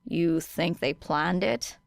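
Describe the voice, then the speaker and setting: Woman doing grumpy teenage boy student, sardonic, deadpan, low voice, dry sound, audiobook dialogue. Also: takes it slowly, creaky, dry recording. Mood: sullen. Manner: deadpan, grumbling.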